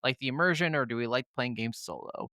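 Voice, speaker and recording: A man with a smooth, clear voice at a middling pitch, talking casually as a podcast co-host. He comes across as agreeable and keen, and the recording is dry with no room sound. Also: expressive, fast, pushed and bright, no echo. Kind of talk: casual gaming discussion.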